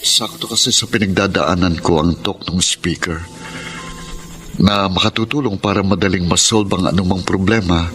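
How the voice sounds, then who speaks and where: Deep-voiced troubled young Filipino man. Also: steady tone, moderate pace, fairly bright tone, a little hiss or hum. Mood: troubled, guarded. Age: young adult. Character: troubled, withdrawn young man pushing away his girlfriend. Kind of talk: Filipino radio drama acting. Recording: music under the voice.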